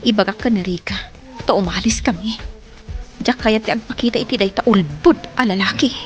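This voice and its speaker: A woman's voice: mid-range voice, brisk, close to an everyday voice. A woman with an Ilocano accent playing a hardworking young woman with a deceitful boyfriend in a radio drama. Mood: hurt, disappointed. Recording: music behind the voice.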